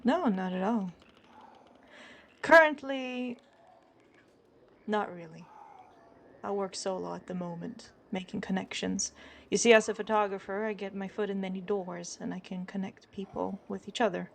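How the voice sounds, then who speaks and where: A woman playing a composed, guarded Old West photographer and fixer in improvised roleplay, speaking in a measured, careful way as a non-native English speaker. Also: medium pitch, creaky, slight background noise, natural voice. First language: not English. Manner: measured, careful word choices.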